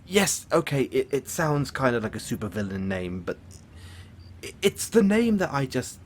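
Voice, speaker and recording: A man's voice: mid-range voice, big pitch swings, moderate pace, slight background noise, natural voice. A man playing a theatrical, boastful supervillain who gets flustered and stammers, in an audio roleplay monologue. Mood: awkward, sheepish. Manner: pompous, stammering, dramatic swoops and villainous chuckles.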